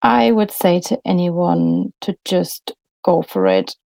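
A woman, a podcast guest talking in a relaxed way, often pausing with fillers and restarting sentences. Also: lowish voice, vocal fry, no echo. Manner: thoughtful, many fillers and restarts. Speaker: podcast guest, bakery business co-founder. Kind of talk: casual interview conversation.

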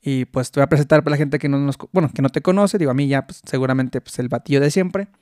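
A man's voice: medium pitch, quick pace, a little mellow, no echo, studio quality. A man with a Mexican accent hosting a podcast interview in casual chat, laughing often and restarting his sentences. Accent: Mexican Spanish. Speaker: podcast host.

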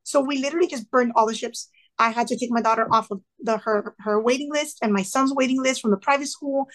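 A woman's voice: on the high side, quick pace, poor audio. A woman in her thirties, a former teacher of Cuban family background, earnestly telling her story in an interview and acting out the people she quotes. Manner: earnest, animated, quoting others in lively asides. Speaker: guest, former classroom teacher. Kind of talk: conversational storytelling.

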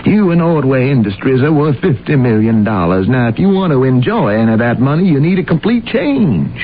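Man acting a blunt, animated family doctor. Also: mid-range voice, fairly quick, lightly acted. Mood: insistent. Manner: emphatic, exasperated. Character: blunt, scolding, hearty male family doctor. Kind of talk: old-time radio drama acting. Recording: tinny, noisy old radio broadcast.

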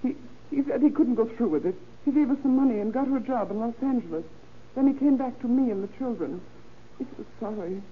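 An actress playing a faded, anxious little housewife in an old radio crime drama, hesitant, flustered and often stammering. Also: female voice, a little high, fast talker, breathy edge. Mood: ashamed, flustered. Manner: hesitant, stammering, repeats words. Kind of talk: 1930s-40s radio crime drama acting. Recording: old tinny radio recording with noise.

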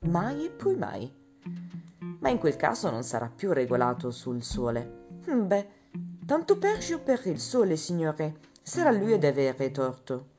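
Woman doing an excitable young French manservant. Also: low-pitched, unhurried, pitched above the performer's own voice, exaggerated voice. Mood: indignant. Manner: eager, talkative, indignant bursts. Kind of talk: audiobook character voice. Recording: guitar music playing underneath. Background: guitar music.

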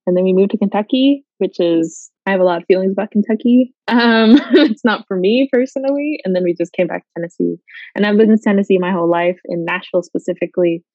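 A woman's voice: mid-range voice, quick pace, darkish tone, no room sound. Chatty young woman, muffled sound. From Guatemala. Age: young adult. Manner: chatty, many fillers, laughs often. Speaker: interviewee. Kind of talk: casual interview.

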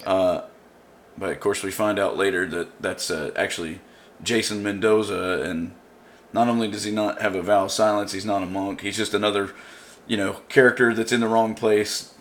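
A man's voice: lowish voice, fairly even intonation, moderate pace, a little hiss or hum. A man casually recapping a show with friends, rambling with fillers and restarts. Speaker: show commentator.

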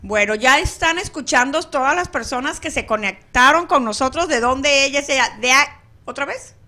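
High bright-voiced woman host. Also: lively intonation, normal speed. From Venezuela. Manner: chatty and effusive, laughs easily. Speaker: radio host. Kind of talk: lively radio chat.

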